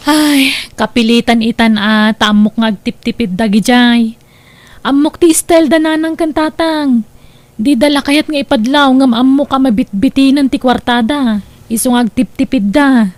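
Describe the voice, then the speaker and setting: An actress plays a nosy village woman in an Ilocano comedy drama. Her voice is high and closely, dryly recorded, with a chatty, gossiping delivery and an Ilocano accent. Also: normal speed, dry recording, natural voice. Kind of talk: Ilocano comedy radio-style drama acting.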